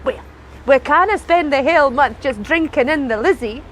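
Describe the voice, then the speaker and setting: Excitable high-pitched Scottish panto fairy godmother. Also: moderate pace, slight background noise. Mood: excited, scheming. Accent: North-East Scottish Doric. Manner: exaggerated, theatrical, addressing children. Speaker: pantomime performer. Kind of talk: rhyming pantomime monologue.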